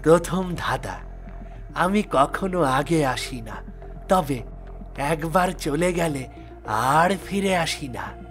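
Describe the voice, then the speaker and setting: A man voicing a mysterious dwarf guardian in a fairy-tale cartoon, measured and deliberate. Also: on the high side, lively intonation, fairly bright tone, faint music behind. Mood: testing. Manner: measured, deliberate riddle-posing. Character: mysterious male dwarf guarding a magic sword, testing riddler.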